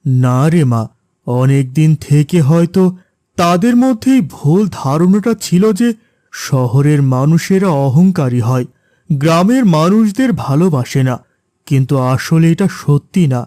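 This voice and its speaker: Casting: man to play gentle middle-aged cartoon dad, warm relaxed tone, measured and reassuring. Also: on the high side, no echo, studio quality. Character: gentle, patient, reassuring middle-aged village-born father. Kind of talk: cartoon voice acting.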